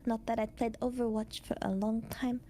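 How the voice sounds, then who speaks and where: A woman's voice: a little high, steady tone, normal speed, dark tone, a little hiss or hum. A woman from England chatting casually and playfully as a streamer, cutesy and hesitant, with little murmured sounds.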